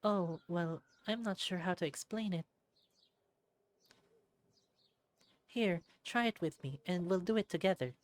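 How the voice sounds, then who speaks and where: A woman voicing a kind, anxious, devout village woman in a visual novel, explaining things gently and hesitantly. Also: on the low side, moderate pace, no room sound, pitched above the performer's own voice, close to an everyday voice. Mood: flustered.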